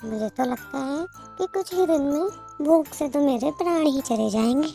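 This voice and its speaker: A woman's voice: high-pitched, animated, normal speed, creaky, dark tone, faint music behind. A woman voicing a sly, self-pitying young female bird in a cartoon moral story, with a whiny, pleading manner. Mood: whining, pleading.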